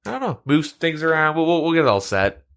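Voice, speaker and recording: A man's voice: on the high side, sing-song, fast, fairly bright tone. Podcast co-host, male, plugged-up nose, easygoing. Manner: laid-back, lots of fillers like "like". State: stuffed-up nose from a cold. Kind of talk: casual chat. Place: shared room, two mics.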